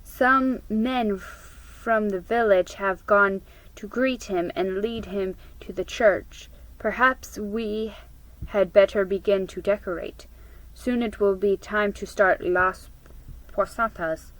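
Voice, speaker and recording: A woman voicing a kindly, solemn old village gentleman in a storybook, gentle and measured. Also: medium pitch, fairly even intonation, no echo, poor audio. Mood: calm.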